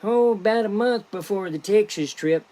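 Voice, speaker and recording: A man voicing an excitable, gullible, earnest conspiracy buff in a comedy podcast script. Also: sits high, normal speed, pitched above the performer's own voice, natural voice. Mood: earnest, excited.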